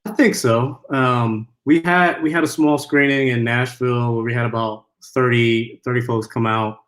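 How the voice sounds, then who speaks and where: A man's voice: medium pitch, fairly even intonation, normal speed, a bit bright. A man speaking as a film director in a conversational Q&A, earnest and reflective. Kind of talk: conversational Q&A answers.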